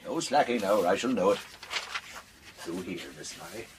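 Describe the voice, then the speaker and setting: A man's voice: low-pitched, normal speed, slight background noise, natural voice. An actor playing a fussy, officious office clerk in a period audio drama, obsequious to his betters. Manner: bossy to juniors, obsequious to superiors.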